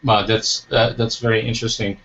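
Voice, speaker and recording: A man's voice: fairly low pitch, flat delivery, brisk, a bit bright. A man hosting a talk show as a coach, speaking reflectively, asking rhetorical questions, and restarting his sentences. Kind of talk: interview conversation. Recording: dull lo-fi recording.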